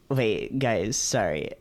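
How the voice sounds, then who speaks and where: A man's voice: on the low side, a bit bright. A man streaming a casual read-aloud of visual novels, playfully breaking off into jokey, questioning asides.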